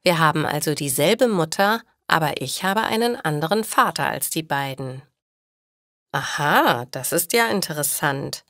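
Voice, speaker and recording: A woman reading scripted learner stories as narrator, in a measured, carefully enunciated way. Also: low voice, lively intonation, a bit bright, no room sound, studio quality. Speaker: story narrator for language learners.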